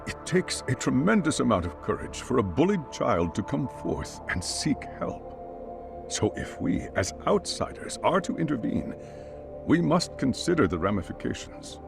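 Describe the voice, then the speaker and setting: A dignified school chairman in a game drama, with a gravelly, expressive voice. He speaks in polite, carefully weighed phrases while music plays behind him. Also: medium pitch, unhurried. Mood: grave, cautioning. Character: measured, formal older male school chairman. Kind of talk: dramatic video game dialogue.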